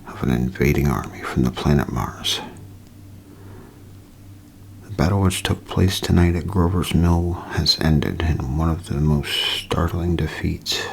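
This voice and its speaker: A man playing a solemn radio news announcer in a 1930s-style drama, speaking in measured, halting phrases. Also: extremely low pitch, gravelly, slight background noise, exaggerated voice. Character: grave male radio news announcer delivering disaster bulletin.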